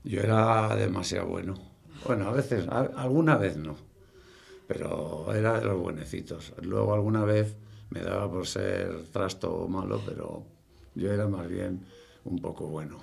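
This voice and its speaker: Older man, early 70s, Castilian accent, deep and very gravelly, halting, informal recollections. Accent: Castilian Spanish. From Spain. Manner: halting, repeats words as he recalls. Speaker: interviewee. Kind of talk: casual reminiscing.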